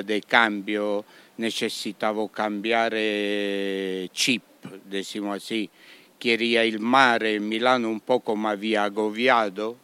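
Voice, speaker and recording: A man with an Italian accent, a restaurant owner speaking Spanish in a radio interview, halting and slipping into Italian words. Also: on the low side, fairly bright tone, clean tone. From Italy.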